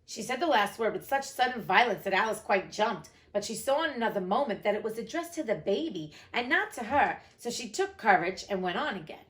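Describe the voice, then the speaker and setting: A woman's voice: mid-range voice, sing-song, brisk, hardly any pauses, a bit bright. A woman narrating a storybook theatrically, with casual, irreverent asides. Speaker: host reading a classic novel aloud.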